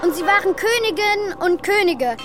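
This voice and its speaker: An actress playing an earnest, dreamy young prince with a childlike way of talking in a children's radio play. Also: female voice, extremely high pitch, lively intonation, moderate pace, fairly bright tone. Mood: insistent. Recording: music under the voice.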